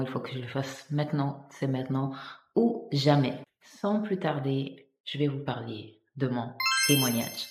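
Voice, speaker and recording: A woman's voice: very deep voice, darkish tone, echoey room. A woman from Italy sharing her personal faith testimony in a vlog, earnest and hesitant, with many fillers and restarts.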